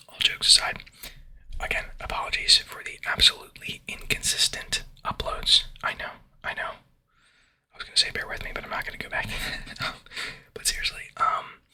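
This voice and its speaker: A man making ASMR in a breathy whisper, rambling casually to his viewers and often repeating short phrases over and over. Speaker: ASMR video creator.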